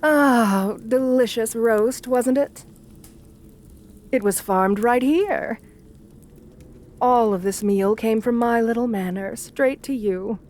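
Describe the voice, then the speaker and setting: Woman playing an assertive, seductive high-voiced countess. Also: slow, pauses often, a little mellow. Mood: gracious, charming. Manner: genteel, doting, uses pet names. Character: assertive flirtatious vampire countess, elegant and seductive. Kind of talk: ASMR roleplay addressed to the listener.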